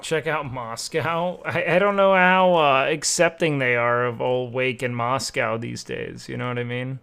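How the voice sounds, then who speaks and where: A man's voice: mid-range voice, steady tone, unhurried, gravelly, fairly bright tone, clean tone. A man streaming live, reacting to videos with blunt, profane asides.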